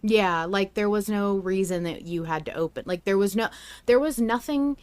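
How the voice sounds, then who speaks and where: A woman's voice: medium pitch, normal speed, darkish tone, clear, resonant tone. A woman co-hosting a podcast, chatting casually and informally as she speculates about a story. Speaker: podcast co-host. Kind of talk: casual fan podcast chat.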